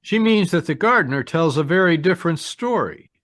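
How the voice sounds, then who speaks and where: An actor playing a suspicious, defiant young nephew in an old-style radio mystery. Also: male voice, on the high side, expressive, fairly quick, fairly bright tone, no echo. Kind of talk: 1940s radio mystery acting. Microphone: video call.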